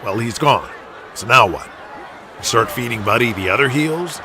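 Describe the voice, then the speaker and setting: An actor playing a worried, frank wrestler son of a promoter in an audio drama. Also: male voice, mid-range voice, animated, moderate pace, a crowd in the background, lightly acted. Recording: noisy arena ambience behind voice. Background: arena ambience.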